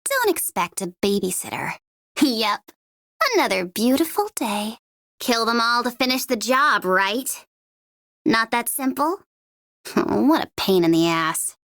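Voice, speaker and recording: A woman's voice: a little high, sing-song, measured pace, a bit bright, dry recording, studio quality, heavily acted. A woman voicing a young female mercenary bomber in a video game, gleeful and taunting, with a playful, sardonic, theatrical delivery. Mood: teasing, breezy. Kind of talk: video game character voice lines.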